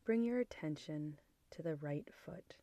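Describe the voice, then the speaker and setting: Slow, low-pitched woman guiding meditation. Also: lively intonation, no room sound. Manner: soft, gentle, soothing, with breathy near-whispered phrase endings. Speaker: meditation guide. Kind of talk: guided body scan meditation.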